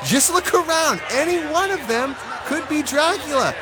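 A man playing an excitable, easily distracted soccer fan in a comic radio drama. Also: very high-pitched, moderate pace, music in the background. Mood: excited, distracted.

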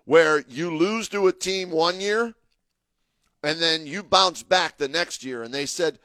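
A man's voice: a little high, a little slow, pushed and bright, no echo. A man co-hosting a sports talk radio show, talking casually and getting emphatic as he argues a point. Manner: chatty, emphatic, gets fired up arguing.

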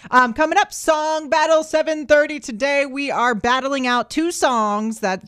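A woman's voice: high voice, measured pace, a bit bright, no echo, studio quality. A woman hosting a morning radio show, talking animatedly and laughing easily with callers and her co-host. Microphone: studio microphone.

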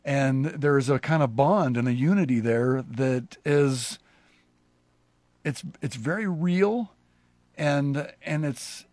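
A pastor hosting a casual conversation, a man who talks in halting, rambling sentences with many restarts. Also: mid-range voice, darkish tone, no echo. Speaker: pastor and show host.

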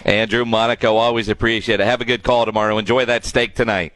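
A man's voice: on the low side, flat delivery, quick pace, fairly bright tone, no echo. A man hosting a sports talk radio show, speaking close to a studio microphone.

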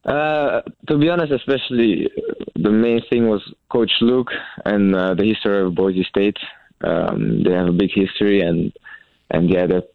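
A young man from Belgium answers questions in a very deep voice, searching for words and restarting his sentences often. The sound is thin and phone-like, with no room around it. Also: no echo. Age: young adult. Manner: halting, many fillers and restarts. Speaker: college tennis player. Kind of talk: interview answers.

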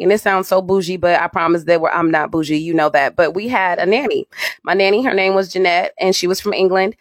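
Low-voiced woman on dry audio. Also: no echo. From Germany, US military family. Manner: relaxed storyteller, with restarts. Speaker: radio personality, podcast guest. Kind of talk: casual interview chat.

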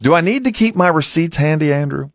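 A man's voice: fairly high pitch, expressive, brisk, dry recording, clean studio sound. A man hosting a sports radio morning show, chatting in a conversational broadcast style. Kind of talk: lively radio show chat.

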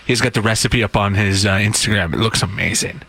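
A man's voice: on the low side, fairly quick, bright, forceful tone, slightly rough, dry recording. A man co-hosting a radio show, bantering casually and relaying messages in a studio. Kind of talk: casual banter, reading listener texts.

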